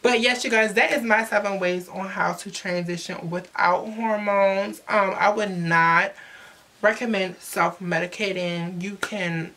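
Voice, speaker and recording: A woman's voice: medium pitch, steady tone, unhurried, bright, forceful tone. A young woman, giving slangy, chatty advice to her viewers in a casual vlog, recorded indoors close to the camera. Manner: chatty, sassy, slangy, addresses viewers as sis. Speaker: vlogger. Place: indoors in front of a glittery backdrop. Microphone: camera at close range.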